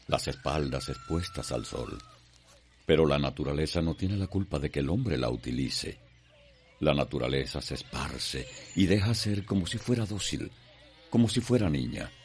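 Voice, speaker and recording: A man's voice: very deep voice, a little mellow, full character voice. A man delivering solemn, poetic scripted narration for a radio soap opera.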